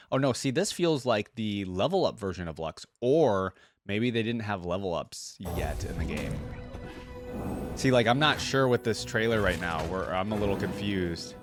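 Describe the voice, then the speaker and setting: A man with a fairly low voice gives off-the-cuff gaming commentary. He talks informally and thinks out loud, using plenty of slang. Music plays clearly behind him. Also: no room sound, clean studio sound. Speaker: reaction video host. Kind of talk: casual gaming reaction commentary. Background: game trailer and theme music.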